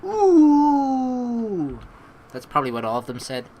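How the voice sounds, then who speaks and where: A woman hosting a let's play in an excitable, reactive way, commenting casually and reading narration aloud. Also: low-pitched, moderate pace.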